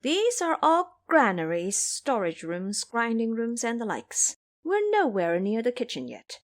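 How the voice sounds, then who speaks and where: A woman acting a shrewd, animated mother-in-law. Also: a little high, unhurried. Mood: brisk, persuasive. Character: shrewd, bustling matronly mother-in-law of a rich household.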